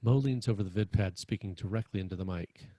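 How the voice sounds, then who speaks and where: A man's voice: low voice, quick pace, mellow, no room sound. A man narrating screenplay stage directions in a scripted read.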